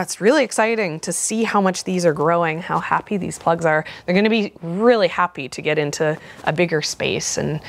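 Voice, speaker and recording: Enthusiastic Canadian woman chatting casually. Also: mid-range voice. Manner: enthusiastic, rambling. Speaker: flower farmer and vlogger. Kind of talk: casual unboxing chat to camera. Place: indoors in a large cluttered garage workshop.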